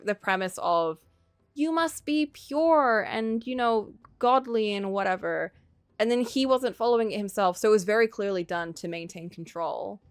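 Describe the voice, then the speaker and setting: A woman's voice: mid-range voice, clear, resonant tone, no room sound. A woman streaming casual, opinionated commentary on a story game, thinking aloud in a conversational way.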